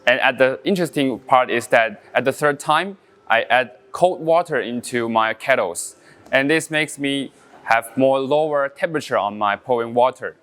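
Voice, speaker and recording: Taiwanese barista, mid-pitched and clean-toned, relaxed demo delivery with pauses and fillers. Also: male voice. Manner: halting non-native English with frequent fillers, explaining step by step. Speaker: coffee brewing champion. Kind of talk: casual brewing demonstration.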